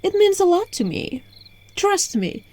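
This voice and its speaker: A woman's voice: very high-pitched, sing-song, measured pace, darkish tone, heavily acted. A woman voicing an alluring, playful witch in an ASMR roleplay, teasing and coaxing the listener, recorded on a phone. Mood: hopeful, grateful. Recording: phone recording with music and night crickets.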